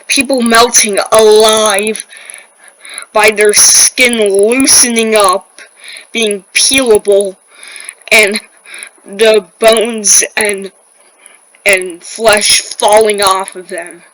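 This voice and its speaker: A woman playing a distressed weather broadcaster in an amateur disaster drama, stammering and halting as she struggles through deadly heat. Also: medium pitch, fairly even intonation, fairly bright tone, dry recording, rough recording. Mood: uneasy, queasy.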